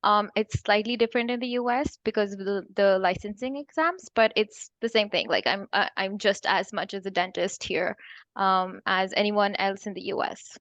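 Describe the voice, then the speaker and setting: A woman's voice: mid-range voice, moderate pace, a bit bright, no echo. A woman in her twenties from Pakistan, answering interview questions plainly and hesitantly with fillers. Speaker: remote dentist employee. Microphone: video call.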